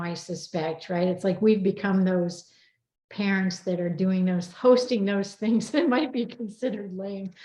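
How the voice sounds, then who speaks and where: A woman's voice: mid-range voice, a little mellow, dull audio. A middle-aged woman hosting a casual group chat in an encouraging, informal way. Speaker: host. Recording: roomy echo, faint music.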